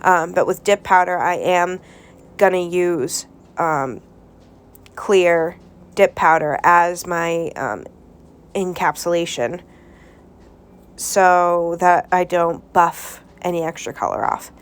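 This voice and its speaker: A woman's voice: on the low side, fairly even intonation, slow, pauses often, clear, resonant tone. A woman vlogger with a stuffed-up nose from a cold, giving a casual nail tutorial at home with lots of ums and restarts. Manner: hesitant, many ums and restarts.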